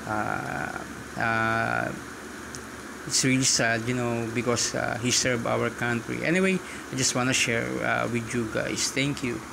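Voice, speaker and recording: A man's voice: medium pitch, fairly even intonation, measured pace, gravelly, background noise. A Filipino man, native in Tagalog, talking casually and politely with hesitations as he demonstrates an app. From Philippines. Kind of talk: casual tutorial talk and radio-style chat.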